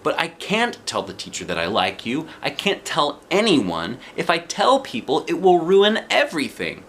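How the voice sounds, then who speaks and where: A bashful young schoolboy, played by a man, with a raised, bright voice. He sounds earnest and self-conscious, a storybook kid who embarrasses easily. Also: on the high side, huge pitch swings, normal speed, slight background noise, voice pushed up, exaggerated voice. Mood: embarrassed, pleading. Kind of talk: children's storybook read-aloud.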